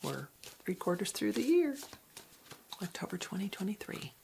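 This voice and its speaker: A woman giving an ASMR card reading in a soft, breathy whisper, with a gentle, reflective, unhurried manner. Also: mid-range voice, big pitch swings, background noise. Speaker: card reader.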